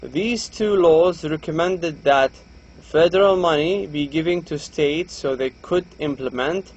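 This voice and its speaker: A man's voice: on the high side, measured pace, dull audio. A man from Saudi Arabia with a Saudi Arabic accent, a native Arabic speaker, giving a recorded reading lecture to students, reading a textbook aloud and explaining with frequent restarts. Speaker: university English lecturer.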